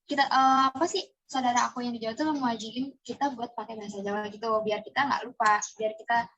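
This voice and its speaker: A woman's voice: fairly high pitch, fairly even intonation, fast talker, fairly bright tone, slightly rough, dull audio. A young woman from East Java answering interview questions in a chatty way, with many hesitations and fillers. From East Java, Indonesia.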